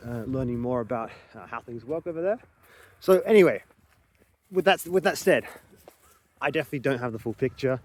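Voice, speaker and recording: Young Australian male vlogger, mid-pitched, chatty and loose with filler words, faint ambient hiss. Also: darkish tone. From Queensland, Australia. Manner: chatty, hesitant with frequent ums and uhs. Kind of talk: casual to-camera vlog.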